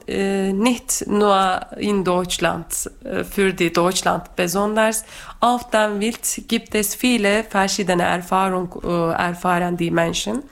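Female guest teacher from Turkey, Turkish accent, halting and careful non-native speech, unhurried, for personal talk and poetry reading. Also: mid-range voice.

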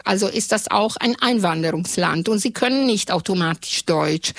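Halting woman. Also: medium pitch, no echo. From Italy. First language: Italian. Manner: halting, with frequent pauses and fillers. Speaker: radio journalist. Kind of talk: interview answers.

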